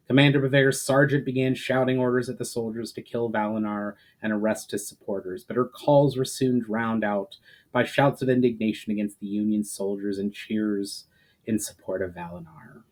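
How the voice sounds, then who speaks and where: A man speaking as an author and storyteller, moving between casual, hesitant introduction and measured dramatic narration. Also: lowish voice, darkish tone. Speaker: author reading own book. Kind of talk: casual chat intro, then dramatic audiobook-style narration.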